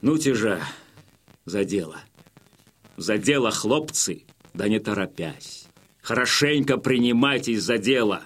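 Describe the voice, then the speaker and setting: A man's voice: on the low side, animated, unhurried, long pauses, a bit bright, breathy edge, full character voice. An actor playing a stern Cossack chief in a radio play, addressing his men with authoritative, folksy oratory. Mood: instructing, firm. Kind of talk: radio play acting, rousing military address.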